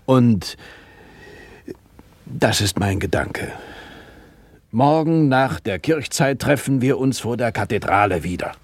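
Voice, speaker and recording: An actor playing an earnest street flower seller in a German radio play, gravelly and husky, with emphatic, insistent diction. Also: male voice, mid-range voice, expressive, slow, long pauses, dry recording. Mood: urgent, insistent.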